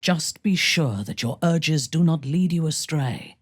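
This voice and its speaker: A woman voicing a ruthless, sensual female warrior commander in a fantasy video game, with a husky, imperious and measured menacing delivery. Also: low voice, dry recording, clean studio sound. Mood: cool, appraising. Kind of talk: dramatic fantasy game dialogue.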